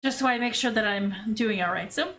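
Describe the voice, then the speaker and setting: A woman's voice: mid-range voice, a little fast, a bit bright, slight background noise. A woman streamer chatting casually and reading a visual novel aloud. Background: music.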